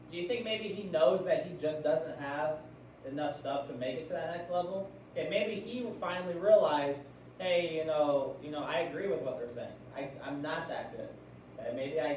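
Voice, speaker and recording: Male teacher, American, fairly high voice, explanatory read-aloud style with check-in questions; noisy, reverberant classroom recording. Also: normal speed, lo-fi sound. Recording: very echoey room, distant mic, noisy.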